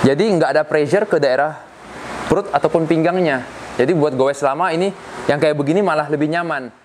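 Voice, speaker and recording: Man explaining, with clear background noise. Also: fairly high pitch. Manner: explanatory, conversational, repeats key phrases for emphasis. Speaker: cycling coach giving tips. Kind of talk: casual instructional demonstration. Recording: clear ambient hum and vehicle noise.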